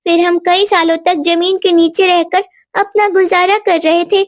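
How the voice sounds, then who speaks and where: A woman's voice: extremely high pitch, little pitch movement, measured pace, no room sound, studio quality. A woman voicing a dignified, proud queen ant who explains things formally in a cartoon moral story. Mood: earnest, recounting.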